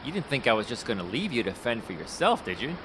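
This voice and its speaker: An actor playing a folksy, fast-talking male Hollywood talent scout with a salesman's patter in an audio drama. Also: mid-range voice, very animated, background noise, close to an everyday voice.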